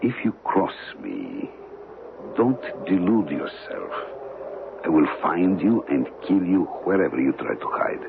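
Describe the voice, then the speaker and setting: A cold, menacing man in an old radio drama. His deep voice crackles with fry while he speaks in formal, precise phrases, over a noisy recording. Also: measured pace, narrow, tinny audio, exaggerated voice. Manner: calm, formal, precise, threatening. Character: cold menacing male criminal without conscience.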